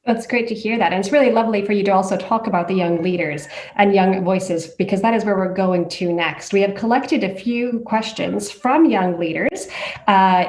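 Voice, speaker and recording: A woman moderates an online panel and speaks at a middle pitch. Her friendly, organized voice carries a roomy echo around it. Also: a little mellow. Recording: roomy, echoey room.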